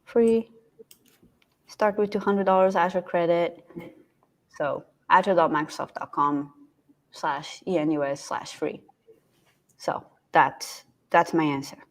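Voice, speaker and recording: A woman's voice: fairly low pitch, fairly even intonation, slow pace, long pauses. A woman in her thirties co-hosting a casual live stream over a video call, chatting with restarts as she explains things. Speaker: co-host. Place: home room, indoors.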